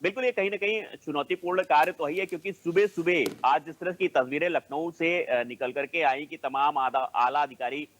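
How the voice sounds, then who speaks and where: A man's voice: on the high side, normal speed, hardly any pauses, fairly bright tone, slight background noise, rough recording. A man with a North Indian accent reporting live as a news correspondent, hesitating and leaning on stock linking phrases, over a band-limited link.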